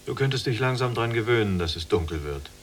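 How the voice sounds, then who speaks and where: A man's voice: mid-range voice, animated, fast talker, slight background noise, natural voice. An actor playing a younger male prisoner in a radio drama, stubborn yet resigned, speaking in a terse, matter-of-fact way. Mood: dry. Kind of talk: literary radio drama acting.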